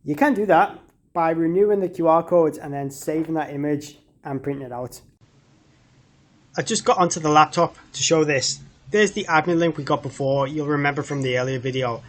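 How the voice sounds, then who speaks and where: A man with a slightly high voice talks through a product demonstration. He explains things step by step in an informal, conversational tutorial style. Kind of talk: casual tutorial walkthrough.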